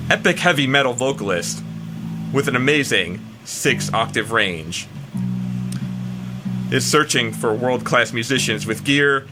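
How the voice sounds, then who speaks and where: A man's voice: mid-range voice, lively intonation, a little slow, pauses often, over music, close to an everyday voice. A man voicing a boastful heavy metal vocalist in a pompous dramatic reading. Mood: grandiose boasting.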